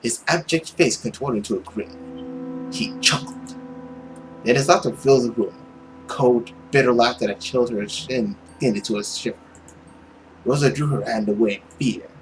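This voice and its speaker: A man's voice: mid-range voice, moderate pace, slight background noise, rough recording. A man narrating a visual novel aloud in a dramatic storytelling style as he plays it. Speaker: video game let's player.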